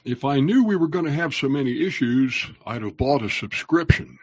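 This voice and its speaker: A man co-hosting a live online show in casual chat, wry and jokey, quick to laugh. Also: mid-range voice, animated, breathy edge, no echo, highs cut off. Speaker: co-host.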